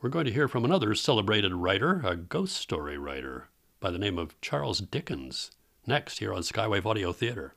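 A man with a fairly low voice presents as the host of an old-time radio theater show. He delivers scripted, informative introductions. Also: big pitch swings, moderate pace, gravelly, fairly bright tone.

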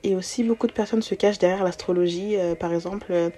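Mellow, lower-pitched young woman podcasting. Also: steady tone, fast. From born outside France, moved to France as a young child. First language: not French. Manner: informal, slangy, sprinkles in English words. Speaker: podcast host. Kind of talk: casual solo podcast, answering self-introduction questions.

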